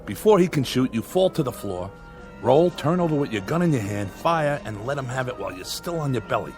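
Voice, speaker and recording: An actor playing a harried television director who gives brisk, impatient instructions. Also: male voice, medium pitch, expressive, darkish tone, lightly acted. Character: harried male TV show director. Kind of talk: radio drama acting. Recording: radio drama with music behind.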